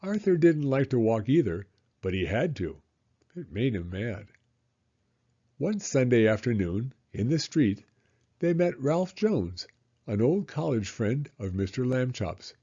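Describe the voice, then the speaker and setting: Man, children's audiobook narration, expressive, slightly husky, muffled recording. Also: mid-range voice, a little slow. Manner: expressive, acts out dialogue. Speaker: storyteller reading a children's book aloud. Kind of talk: scripted narration, children's read-aloud.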